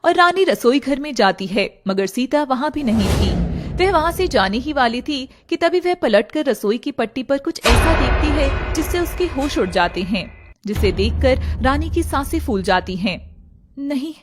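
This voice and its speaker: A woman's voice: sits high, lively intonation, moderate pace. A woman reading a scripted, dramatic story as its narrator. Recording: music playing behind the voice. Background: background music.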